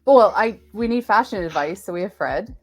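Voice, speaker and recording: A woman hosting a casual live stream interview, with a chatty, jokey and halting way of talking. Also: medium pitch, lively intonation. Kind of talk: casual unscripted chat.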